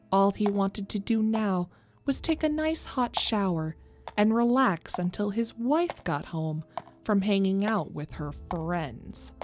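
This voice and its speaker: A woman's voice: mid-range voice, darkish tone. A woman reading scripted narration of a horror story as the narrator.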